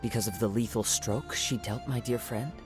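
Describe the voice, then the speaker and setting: A man playing a gentle young wandering samurai poet in a video game, soft-spoken, measured and reflective, with a calm, wistful air. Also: mid-range voice, over music, natural voice. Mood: pensive, resolute. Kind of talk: video game character voice lines.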